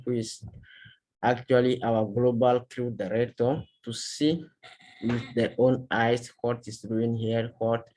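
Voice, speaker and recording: Guy on a video call talking slow and careful, a bit halting, not native phrasing. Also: mid-range voice, mellow, poor audio. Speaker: guest from a youth climate movement. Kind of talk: online panel talk.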